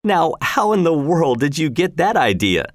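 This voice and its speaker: A man's voice: on the high side, very animated, moderate pace, no echo, studio quality, voice pushed up. A man reading a story voices a bold, easygoing hunter and father who speaks casually and self-assuredly.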